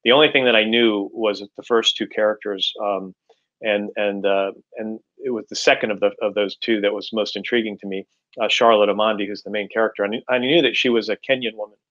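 A middle-aged man answering interview questions as an author, halting and repeating words as he explains, on a video call. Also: lowish voice, fairly even intonation, a bit bright. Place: indoors at home, room with bookshelves, on a video call. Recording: slightly dull video-call audio.

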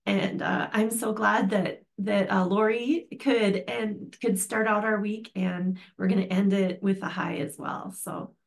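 This older woman hosts an online class over a video call, her voice carrying a gravelly edge and an even middle pitch. She reads out notes for the coming week in a friendly, chatty way from a home office. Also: unhurried, hardly any pauses. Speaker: program host. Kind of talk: online class wrap-up and announcements.

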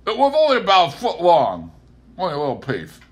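Old rustic farmer, put on by a male narrator, raised pitch, defensive and muttering, comic novel. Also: huge pitch swings, a little fast. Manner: rustic, grumbling self-excuse.

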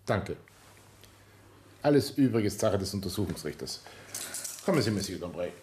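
An actor playing a composed, relentless police inspector in a radio drama, speaking formally and insistently. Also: male voice, deep voice, big pitch swings, moderate pace, darkish tone, a little hiss or hum. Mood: stern, composed. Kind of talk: German radio drama acting.

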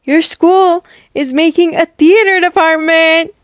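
A woman voicing an encouraging, theater-loving mother with a sweetly coaxing, upbeat manner for an animated story. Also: very high voice, slow, no room sound, clean studio sound, voice pushed up. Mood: coaxing, excited. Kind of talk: animated roleplay story acting.

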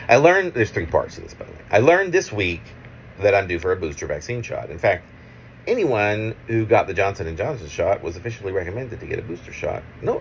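A middle-aged man reads his own poetry in a low, gravelly voice that moves up and down in lively swings. His phrasing is measured and halting, broken into short pieces with offhand asides. It sounds recorded on a phone in a room at home. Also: slight background noise, rough recording. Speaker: poet reading own work. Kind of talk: poetry reading with casual asides. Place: small room at home, indoors. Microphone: phone at arm's length.

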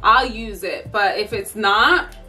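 A woman's voice: medium pitch, slow, bright, forceful tone, slightly rough, soft background music. A woman in her thirties chatting casually and tiredly to camera in a bedroom vlog, with lots of ums and likes. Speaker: vlogger. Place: bedroom at home.

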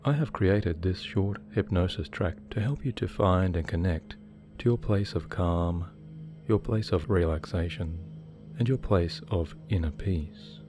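A man guiding a hypnosis meditation in a soothing, measured way with deliberate pauses. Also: deep voice. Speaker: hypnotherapy guide. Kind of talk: guided meditation, hypnosis narration. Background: relaxation music.

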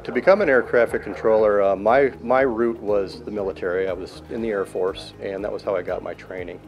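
A man in his fifties, an air traffic control manager, answering interview questions in a control tower in a plain, measured way with many uh's. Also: on the low side, steady tone, muffled recording, faint music behind. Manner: plain, measured, fills pauses with uh and um. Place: control tower cab, indoors, large windows. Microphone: handheld mic held by interviewer.

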